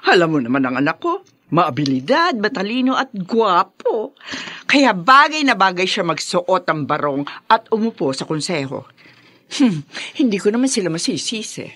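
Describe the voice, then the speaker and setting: A woman's voice: medium pitch, sing-song, normal speed, fairly bright tone. An actress playing a proud, domineering, ambitious mother in a Filipino radio drama, preaching and boasting emphatically with a Filipino accent. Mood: boastful, proud.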